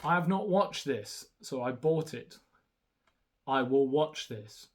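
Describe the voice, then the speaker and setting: A man's voice: medium pitch, lively intonation, takes it slowly. A young man whose first language is Romanian, talking casually and hesitantly through a haul with many ums and restarts. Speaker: YouTuber showing a haul.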